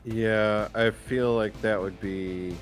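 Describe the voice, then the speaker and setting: A man's voice: fairly low pitch, steady tone, slow, soft background music. A man playing a tabletop roleplaying game, talking casually and in slangy, laid-back phrases as he decides what to do. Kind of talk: casual chat during play.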